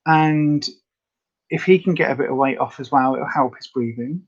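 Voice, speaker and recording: A woman with a slightly raspy voice, chatting casually and rambling to viewers as the host of a live health update. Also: rumbling low, moderate pace, dull audio. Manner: chatty, rambling, many fillers.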